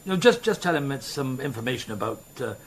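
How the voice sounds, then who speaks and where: A man's voice: mid-range voice, sing-song, fast, gravelly, slight background noise. A man playing a patient middle-aged police inspector in a radio drama, speaking in a hesitant, wry murmur. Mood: polite, furtive. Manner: hesitant, murmuring, stammers mildly. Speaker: actor in the lead role. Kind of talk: naturalistic radio drama acting.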